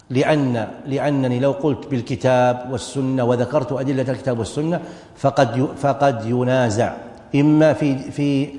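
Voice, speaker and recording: A man gives a step-by-step lecture on a religious text, numbering each point and often restarting his words. His voice is warm and mellow, and it carries with a long, echoey reverb through a large hall. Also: mid-range voice. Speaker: Islamic jurisprudence teacher. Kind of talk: classroom lecture explaining a text.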